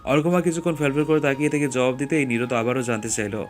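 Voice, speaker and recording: Male narrator, medium pitch, scripted storytelling, soft background music. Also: steady tone, fast.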